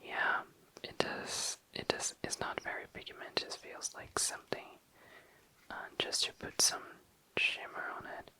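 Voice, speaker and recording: An ASMR host whispering in a breathy, unvoiced voice, musing casually and tentatively, close to the mic. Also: measured pace, bright, forceful tone, rough recording. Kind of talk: whispered ASMR, casual makeup swatching narration.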